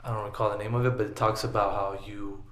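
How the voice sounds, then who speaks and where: Joking young podcast host, echoey room. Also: male voice, fairly low pitch, level tone, fast, a bit bright. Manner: joking, interjects and finishes the guest's sentences. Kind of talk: casual chat.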